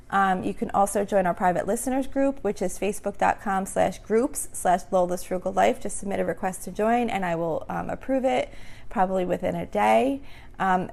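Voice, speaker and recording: A woman's voice: medium pitch, creaky. A woman hosting a casual solo podcast, rambling with lots of "um" and "like", her voice a little worn as she starts to lose it. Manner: rambling, many fillers like "um" and "like". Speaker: podcast host.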